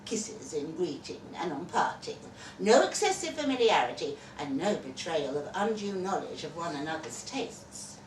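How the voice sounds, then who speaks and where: A woman reads literary fiction aloud in a wry, theatrical way, taking her time with dramatic pauses. Her lowish voice swings widely in pitch. The sound is echoey, as in a live venue. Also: sing-song. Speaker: reader of a short story at a festival. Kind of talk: expressive live literary reading. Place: live festival reading in an echoey room. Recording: echoey venue, far from mic, noisy.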